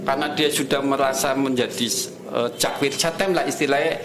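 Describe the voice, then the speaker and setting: A man with a Tegal Javanese accent who tells stories in a relaxed way as a podcast guest, mixing regional dialect into his talk. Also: medium pitch, a little fast, reverberant, a crowd in the background, rough recording. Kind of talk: casual conversation.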